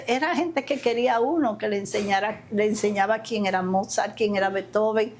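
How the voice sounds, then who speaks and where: An older woman with a Dominican accent, speaking conversationally as an interview guest and telling her recollections emphatically. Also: fairly high pitch. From Dominican Republic, Santiago and the capital. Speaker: interview guest, community leader. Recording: steady ambient background noise.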